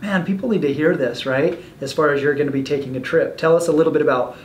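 A man's voice: a little high, quick pace, a little hiss or hum. A man in his thirties hosting an interview in an office meeting room, asking questions loosely with many fillers. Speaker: interviewer, host. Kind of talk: casual interview. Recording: echoey room from a distance.

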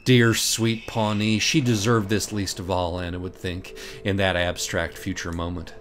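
A man's voice: low-pitched, level tone, measured pace, fairly bright tone, faint music behind. A man reading scripted comic narration as an audiobook reader, in a mock-dramatic, scornful, profane manner.

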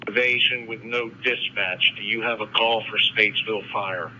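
Low flat male voice on radio. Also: moderate pace. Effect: radio transmission. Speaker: fire battalion officer. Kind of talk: terse radio exchange. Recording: lo-fi radio with faint noise.

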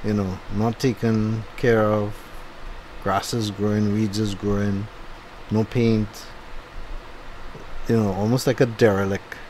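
A man with a Trinidadian accent giving a low-key, unenthusiastic running commentary while driving. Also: flat delivery, takes it slowly, long pauses, a little mellow, a little hiss or hum, low-quality recording. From Trinidad and Tobago. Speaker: driving vlogger. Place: inside a moving car.

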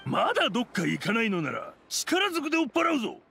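A man voicing a stern, dutiful forest guard who is gruff with creatures and respectful to his goddess, in a video game. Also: very high-pitched, wildly swooping pitch, fast talker, sharp tone, heavily acted. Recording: game audio with noise.

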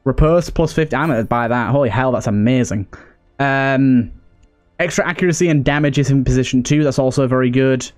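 A man's voice: mid-range voice, a little fast, darkish tone. A man giving casual, chatty gameplay commentary as a let's play host, thinking aloud with mild understatement. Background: video game music.

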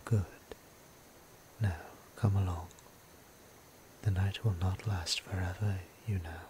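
Man acting a low, whispery vampire priest. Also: fairly even intonation, background noise. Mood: gentle, tender. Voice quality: soft, breathy near-whisper. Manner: slow, deliberate, formal, soothing. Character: gentle, courteous male vampire priest, caretaker. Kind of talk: intimate ASMR roleplay acting.

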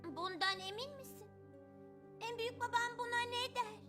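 Earnest homesick cartoon girl, very high and hesitant, over clear music. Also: moderate pace. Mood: upset, pleading. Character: young orphan girl, earnest, anxious, homesick. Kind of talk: dubbed cartoon acting.

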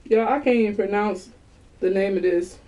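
A woman's voice: medium pitch, normal speed, warm tone, poor audio. A woman, chatting animatedly to viewers in a casual haul video from a small bedroom, recorded on a webcam. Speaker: vlogger.

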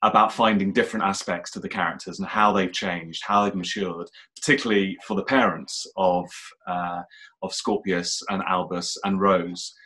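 Low-voiced male Q&A host. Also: fairly even intonation, normal speed, sharp tone. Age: middle-aged. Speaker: host, interviewer. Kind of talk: hosting a Q&A, posing questions. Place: living room at home. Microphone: video call.

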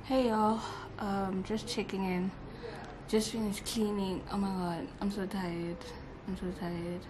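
A woman's voice: medium pitch, flat delivery, a little slow. A woman in her twenties chatting casually to camera as a home vlogger, musing aloud in an informal way. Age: 20s. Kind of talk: casual vlog chat to camera. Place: living room of an apartment, indoors. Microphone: camera at arm's length. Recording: clearly noisy home recording.

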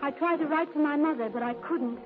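An actress playing a young, innocent, romantic secretary in a 1930s radio drama, wistful and theatrical. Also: female voice, high-pitched, brisk, natural voice. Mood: dreamy, wistful. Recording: muffled vintage radio recording, faint music.

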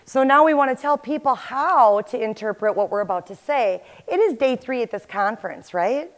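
A woman in her forties speaks as an agile coach at a conference, her voice sitting a little high. She talks animatedly and gestures toward the audience. She is picked up on a headset mic in a large hall. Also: expressive, normal speed. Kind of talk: conference presentation.

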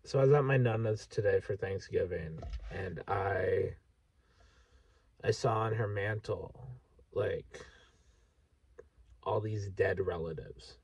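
A man of about thirty, talking frankly and haltingly in a sweary, candid personal vlog monologue. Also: on the low side, gravelly, a little mellow, breathy edge, dry recording, far from the mic. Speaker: vlogger. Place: inside a parked vehicle at night.

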